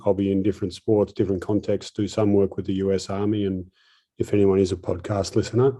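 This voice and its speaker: Brief: Australian man, low flat delivery, easygoing and self-deprecating, speaking over a video call. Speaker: performance coach. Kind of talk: panel introduction on a video call.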